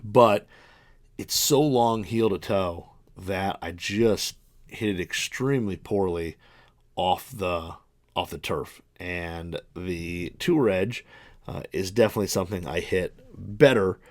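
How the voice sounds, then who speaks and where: A man's voice: low-pitched, takes it slowly, no echo, studio quality. A man in his early forties hosting a podcast, talking casually and rambling through his equipment with many fillers and restarts. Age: 40s. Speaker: podcast host reviewing golf clubs. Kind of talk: casual monologue.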